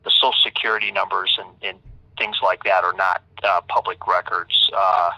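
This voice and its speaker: A man's voice: deep voice, level tone, moderate pace, vocal fry, sharp tone. A man leaving an informal voicemail as an attorney, stammering and repeating words, recorded over a telephone line. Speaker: public defender attorney.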